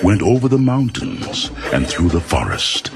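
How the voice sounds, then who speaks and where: A man narrating a children's storybook in an expressive, dramatic storytelling manner. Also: deep voice, measured pace. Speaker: audiobook narrator. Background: music.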